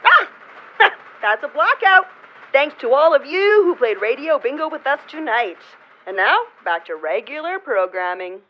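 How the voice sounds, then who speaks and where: An actress playing an upbeat radio bingo caller, announcing through a radio filter in an audio drama. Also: female voice, high-pitched, sing-song, a little slow. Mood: showy. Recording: muffled radio broadcast with faint noise.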